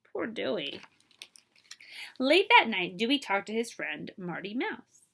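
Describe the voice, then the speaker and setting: Female children's storyteller, fairly high and very lively, expressive librarian read-aloud with dramatic pauses. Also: gravelly. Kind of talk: animated children's picture book read-aloud.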